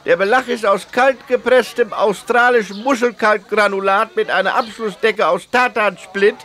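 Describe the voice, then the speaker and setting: An actor playing a matter-of-fact small-town man who answers haltingly in a radio comedy interview. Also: high voice, a bit bright.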